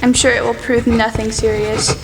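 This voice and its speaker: High-voiced, earnest young woman writer acted by a student. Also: normal speed, lightly acted. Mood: chatty, affectionate. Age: teenage student. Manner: expressive, forthright, theatrical. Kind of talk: student radio play acting. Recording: echoey hall, music behind.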